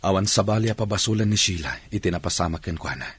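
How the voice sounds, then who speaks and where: A man's voice: low voice, animated, quick pace, slight background noise. A man acting a sober, newly widowed husband in a Filipino radio drama, with a Filipino accent. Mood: bitter, resigned.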